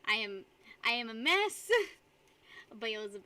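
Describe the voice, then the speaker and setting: Young woman, high voice, bright tone, giggly, rambling chat, live streamer. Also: very animated, takes it slowly.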